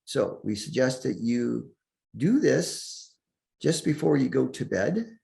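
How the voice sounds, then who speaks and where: Slow, gravelly male meditation guide. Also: medium pitch, expressive, warm tone. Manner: soothing, deliberate, with long pauses between short phrases.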